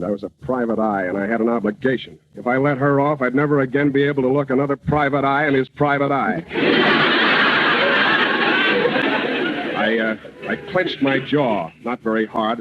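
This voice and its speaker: An actor playing a hard-boiled, wisecracking private eye with cool deadpan delivery in an old-time radio comedy. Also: male voice, medium pitch, fast talker, few pauses, natural voice. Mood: brooding, deadpan. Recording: noisy, dull old radio broadcast recording.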